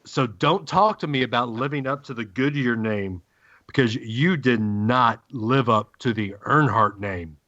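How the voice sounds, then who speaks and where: A man co-hosting a sports podcast, ranting emphatically with dramatic pauses. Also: medium pitch, pushed and bright, no echo, highs cut off. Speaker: podcast co-host. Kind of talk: casual sports podcast banter.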